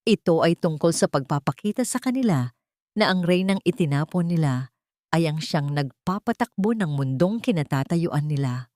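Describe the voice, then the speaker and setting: A woman storyteller voicing a wronged, now powerful and resolute woman with a measured, defiant manner. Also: low voice, animated, darkish tone, no room sound, studio quality. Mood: cold resolve.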